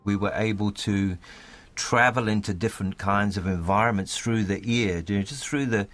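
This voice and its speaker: Earnest, low-voiced New man. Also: normal speed, fairly bright tone, dry recording. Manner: earnest, articulate, repeats phrases for emphasis. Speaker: playwright. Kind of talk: thoughtful interview talk.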